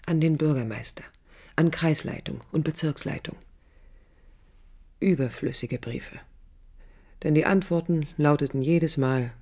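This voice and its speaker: Intense, husky young woman architect. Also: deep voice, unhurried, long pauses, mellow. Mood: resolute, bitter. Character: young idealistic woman architect, passionate and defiant, narrating her life. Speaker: lead actress and narrator. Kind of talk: German radio drama, introspective first-person narration. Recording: 1980s radio play production.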